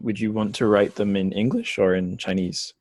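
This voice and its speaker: A man's voice: on the low side, steady tone, normal speed, darkish tone, dry recording. A man hosting a casual podcast interview, asking questions in a tentative way and often rephrasing them as he goes.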